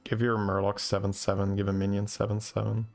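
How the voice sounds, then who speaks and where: A Belgian man with a Flemish accent, a Dutch speaker, talking through a live game. His voice is low and heavily gravelly, with faint game music behind him. He reasons out loud and keeps asking himself "right?". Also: completely flat delivery, a little fast. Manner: thinks aloud, tags plans with "right?". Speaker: game streamer. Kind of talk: live gameplay commentary.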